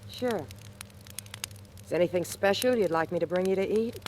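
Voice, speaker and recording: An actress playing a tough, shrewd hobo in a 1940s radio thriller, sardonic, slangy and taunting. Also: female voice, lowish voice, moderate pace, close to an everyday voice. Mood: cool, wary. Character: tough wisecracking female hobo, shrewd and mocking. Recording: noisy old radio recording.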